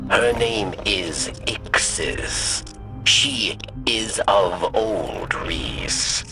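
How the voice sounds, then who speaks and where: An actor voicing a grave, brooding, ancient-sounding male alien warrior in a video game, with a gravelly voice. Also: fairly low pitch, animated, drawn-out words, over loud music, heavily acted. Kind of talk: video game dialogue acting.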